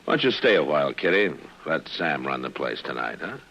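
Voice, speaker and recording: In a muffled vintage radio recording, a male frontier marshal with a very low, gravelly American voice. He is steady, watchful and kindly, using few words with easy authority. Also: brisk, a little hiss or hum, heavily acted. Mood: dry, friendly. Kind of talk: 1950s radio western acting.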